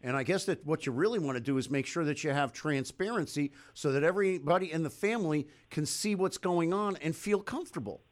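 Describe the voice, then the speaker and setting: A man hosts a live business talk show on the radio. He is upbeat and chatty with his guest. His voice sits quite high for a man. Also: a little fast, few pauses.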